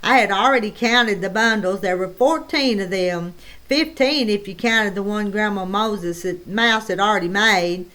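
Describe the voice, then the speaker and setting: A woman narrating a children's novel in first person, with plain, gentle reading. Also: mid-range voice, moderate pace. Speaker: audiobook narrator.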